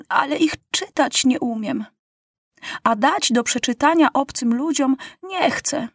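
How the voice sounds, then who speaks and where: A woman voicing a kindly, grateful old woman of eighty who speaks gently and plainly. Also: high voice, husky, dry recording, studio quality, pitched above the performer's own voice, full character voice. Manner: gentle, plain-spoken, warm praise.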